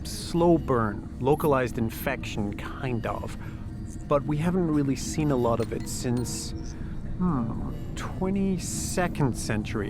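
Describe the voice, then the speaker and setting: Breezy military med tech, music behind. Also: male voice, mid-range voice. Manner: offhand, halting explanations, flippant. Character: breezy, casual male military medical technician. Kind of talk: science fiction audio drama acting.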